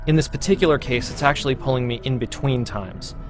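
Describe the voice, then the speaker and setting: A man giving gameplay walkthrough commentary as a producer presenting a demo, in an explanatory, measured manner. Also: mid-range voice. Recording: clear game sound noise behind.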